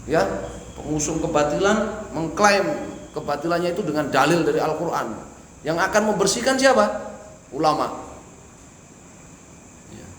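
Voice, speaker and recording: A man's voice: a little high, lively intonation, moderate pace, long pauses, a bit bright. Man teaching in an echoey mosque. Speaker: religious teacher. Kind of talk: mosque study lecture explaining a classical text. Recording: echoey hall with faint hiss.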